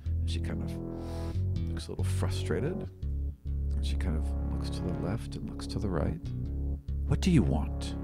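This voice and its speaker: A man narrating a tabletop role-playing game as game master, in a casual, halting, conversational storytelling way. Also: low-pitched, gravelly. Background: music.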